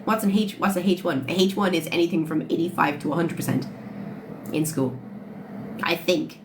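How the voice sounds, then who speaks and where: A woman's voice: lowish voice, normal speed. A woman in her late twenties with an Irish accent, chatting bluntly with viewers on a livestream and swearing freely. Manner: blunt, swears freely, answers chat questions. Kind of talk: casual livestream chat with viewers. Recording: phone livestream with audible background noise.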